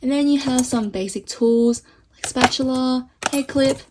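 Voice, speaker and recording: A woman vlogger chatting casually through a makeup review, enthusiastic and full of fillers, her voice getting hoarse. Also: high voice, measured pace, noisy background. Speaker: beauty vlogger.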